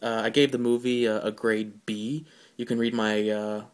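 A man hosting a podcast, giving a casual, informal solo film review in a halting way. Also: fairly low pitch, little pitch movement, clear, resonant tone.

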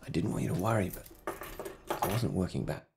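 Actor as tired guy murmuring to his partner late at night, really low gravelly voice, film dialogue. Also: expressive, fairly quick, a little mellow, a little hiss or hum. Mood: weary, apologetic. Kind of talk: naturalistic film drama dialogue. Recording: close-miked film dialogue.

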